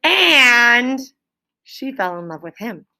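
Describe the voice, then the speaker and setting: A woman's voice: fairly high pitch, very animated, takes it slowly, heavy background noise. A woman teacher reading aloud to her class in an instructive way, stopping to prompt pupils with check-in questions. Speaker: elementary school teacher.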